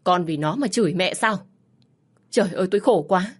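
A woman voicing a bitter older mother-in-law who berates her daughter-in-law in a high, loud voice. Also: moderate pace, lightly acted. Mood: wailing, furious. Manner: shrill, berating.

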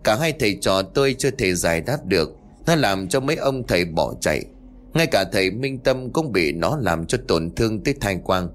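A man's voice: on the low side, expressive, moderate pace, close to an everyday voice. A man voicing a grave male exorcist who solemnly warns a family of danger. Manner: solemn, warning.

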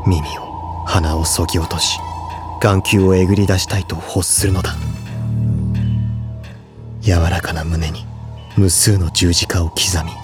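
An actor playing a solemn, tormented high school honor student in an audio drama, speaking with grave, formal restraint. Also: male voice.

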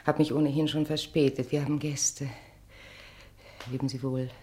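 A prim married woman in a radio play. Her low voice often thins to a breathy near-whisper, brittle and evasive, with a moralizing streak. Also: level tone, moderate pace, mellow, slight background noise. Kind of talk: German radio drama acting.

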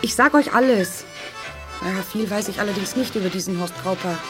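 A woman plays a chatty, placating informant in a radio drama. Her voice is medium in pitch, over clearly heard music. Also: brisk, close to an everyday voice. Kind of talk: radio play acting.

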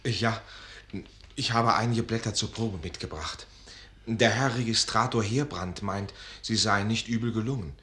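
An actor playing a timid, lovestruck young student in a radio play, earnest, plaintive and theatrical. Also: male voice, fairly low pitch, measured pace, a bit bright. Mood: shy, polite. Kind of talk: German radio play acting of a literary classic.

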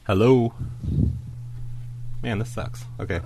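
A man's voice: fairly low pitch, steady tone, takes it slowly, gravelly. A man hosting a prank phone call show, chatting casually with callers.

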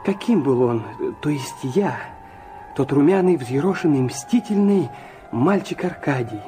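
An actor playing a brooding, earnest young man recounting his wounded past in a theatrical radio drama, in halting phrases that drop to a hush. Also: a little high, expressive, soft background music. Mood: agitated, insistent. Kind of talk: literary radio drama narration.

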